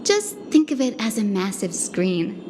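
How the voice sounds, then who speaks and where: A woman playing a gentle, composed young female guide in a fantasy game, with measured, explanatory speech. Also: mid-range voice, animated, background noise, natural voice. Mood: calm, thoughtful. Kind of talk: scripted game dialogue.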